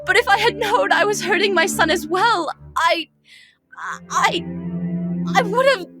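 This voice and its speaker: High-voiced motherly goat queen played by a woman. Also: measured pace, over music. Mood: anguished guilt. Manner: formal, careful, heartfelt diction. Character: motherly goat monster queen, guilt-ridden, proper, tender. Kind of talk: dramatic comic dub acting.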